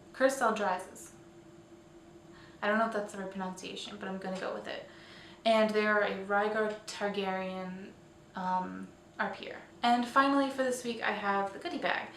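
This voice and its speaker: A woman presents a casual online roundup in an informal, chatty manner. Her voice sits at an average pitch and she drops in self-deprecating asides. The recording is roomy and echoey, a little away from the mic, with faint noise underneath. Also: recorded from a distance. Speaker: host of a weekly online roundup show.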